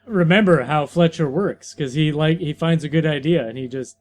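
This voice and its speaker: Jokey man with a high voice. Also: a little mellow. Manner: jokey, quick asides. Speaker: podcast co-host. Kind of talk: comedic banter.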